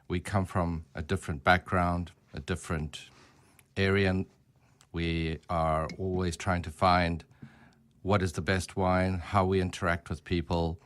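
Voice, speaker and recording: A man with a low, deep voice talks as a radio interview guest. He explains himself in a measured, conversational manner, like an academic addressing a general audience. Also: level tone, a bit bright.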